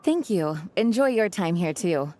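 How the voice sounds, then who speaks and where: A woman's voice: lowish voice, expressive, darkish tone, slight background noise. Earnest, courteous lady knight. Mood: polite. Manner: polite, measured, formal. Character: earnest, courteous young woman knight leader, dutiful. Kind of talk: anime-style video game voice acting.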